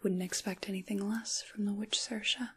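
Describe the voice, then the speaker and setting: A woman playing a kindly, caring witch in a soft, intimate ASMR tarot roleplay, largely whispered, with a gentle, reassuring manner. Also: mid-range voice, steady tone, moderate pace, a little hiss or hum, natural voice. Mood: fond, soft.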